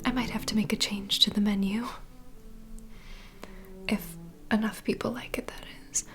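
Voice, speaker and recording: A woman's voice: medium pitch, fairly even intonation, moderate pace, close to an everyday voice. A woman playing a worldly, kindly vampire bartender in an ASMR roleplay, whispering intimately and conspiratorially to the listener. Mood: gently attentive. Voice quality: breathy whisper.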